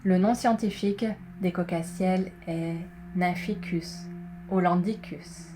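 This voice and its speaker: A woman guiding a relaxation session in a soothing, measured way, pausing between short phrases as she narrates. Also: on the low side, steady tone. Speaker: yoga nidra guide. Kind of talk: guided relaxation, scripted narration. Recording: clearly noisy, ambient tones behind. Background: meditation music with singing bowl tones.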